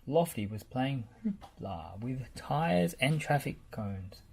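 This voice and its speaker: A storyteller reading a children's picture book aloud in a measured, deliberate way, with background noise. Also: medium pitch, lively intonation, gravelly.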